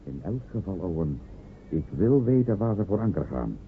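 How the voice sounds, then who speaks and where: A man's voice: sits low, very animated, moderate pace, a little hiss or hum. An actor playing a proud, pompous man who barks orders at a frightened helper in a radio drama. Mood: commanding. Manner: commanding, pompous, barking orders. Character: proud blustering coastal man giving orders. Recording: tinny lo-fi old radio recording.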